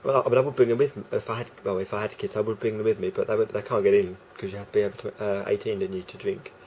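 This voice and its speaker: A man with a croaky voice talking casually to camera as a vlogger, rambling and hesitant with many fillers and restarts. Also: lowish voice, steady tone, racing, a little mellow, a little hiss or hum, low-quality recording.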